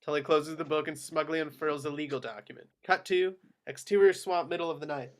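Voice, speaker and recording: Higher-pitched man reading screenplay narration. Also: fairly even intonation, fairly quick. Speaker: narrator of action descriptions. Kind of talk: scripted narration.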